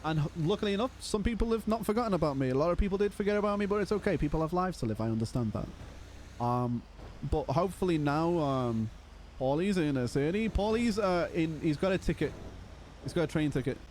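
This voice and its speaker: Hoarse young man, chatty streamer. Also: on the high side, expressive, a little fast, a little mellow, a little hiss or hum. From Liverpool, England. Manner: chatty, laughs often, calls people brother. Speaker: streamer playing a roleplay game. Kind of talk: casual live-stream chat.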